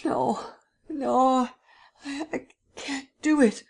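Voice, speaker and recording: A woman's voice: a little high, expressive, slow, dark tone, voice pushed up. Woman voicing a terrified young man. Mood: terrified pleading. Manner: faltering, pleading, stuttering.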